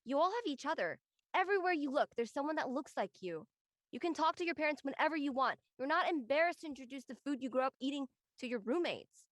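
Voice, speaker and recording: A woman's voice: sits high, expressive, fast talker, dry recording, studio quality. A woman playing an earnest, protective, easily exasperated young college student in an audio drama. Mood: pained. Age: young adult. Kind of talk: acted audio drama dialogue.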